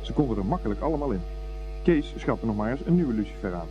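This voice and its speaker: Male narrator, scripted radio drama storytelling, muffled vintage sound, music bed clearly present. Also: mid-range voice, lively intonation, fast talker. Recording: dull lo-fi old radio recording.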